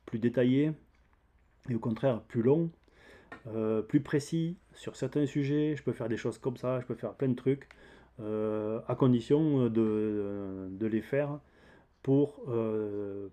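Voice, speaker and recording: Male DIY vlogger, southern French accent, soft-edged relaxed tone, unscripted and hesitant delivery that wanders as he explains. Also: medium pitch. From south of France. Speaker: DIY video channel host. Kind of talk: casual unscripted vlog talk.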